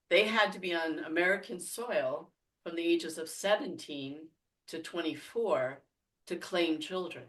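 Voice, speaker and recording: A woman's voice: sits low, fairly bright tone, recorded from a distance, low-quality recording. A woman from France, a podcast guest, telling a story casually with many fillers and frequent laughter.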